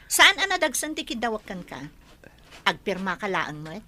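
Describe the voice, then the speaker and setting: Woman playing a bossy scheming aunt, sharp and animated. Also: mid-range voice, normal speed, slight background noise. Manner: bossy, commanding, emphatic. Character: domineering, scheming older aunt, cold and greedy. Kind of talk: audio drama acting.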